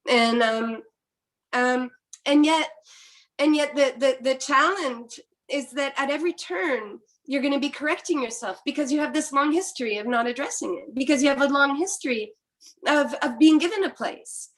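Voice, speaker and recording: A woman's voice: high-pitched, normal speed, fairly bright tone. A middle-aged woman from Quebec whose first language is French, speaking thoughtfully with many restarts in an academic panel discussion. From Quebec, Canada. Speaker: philosopher panelist.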